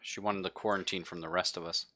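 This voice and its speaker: A man's voice: deep voice, fairly even intonation, fast talker, pushed and bright. A man talking his way through an improvised tabletop roleplaying session.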